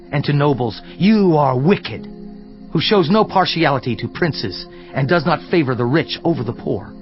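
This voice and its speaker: A man's voice: fairly high pitch, natural voice. A man playing an earnest, indignant counselor in a dramatized scripture reading, solemn, emphatic and declamatory in delivery. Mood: fervent rebuke. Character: Elihu, earnest indignant male counselor rebuking a suffering man. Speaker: voice actor in audio Bible. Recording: slightly dull sound, music underneath. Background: background music.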